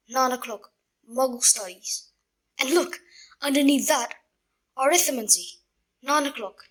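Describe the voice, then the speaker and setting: A woman playing a blunt, incredulous young schoolboy wizard in an audio drama, with a husky voice and colloquial manner. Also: sits high, exaggerated voice, rough recording. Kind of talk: fan audio drama acting.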